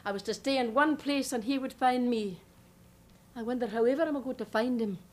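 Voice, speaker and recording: A woman's voice: fairly high pitch, a little fast, close to an everyday voice. A woman playing a chatty, hopeful young Scottish immigrant bride-to-be with a Scottish accent, in a one-woman monologue. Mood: anxious. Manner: earnest, chatty, rambling.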